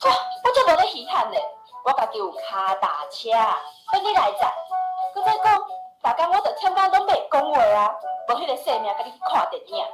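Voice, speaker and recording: A woman's voice: high voice, sing-song, fairly quick, music in the background, low-quality recording. An actress playing a sassy, scornful young woman in a Taiwanese radio drama, with a Taiwanese accent and exclamatory delivery. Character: feisty young woman rebuffing an unwanted suitor.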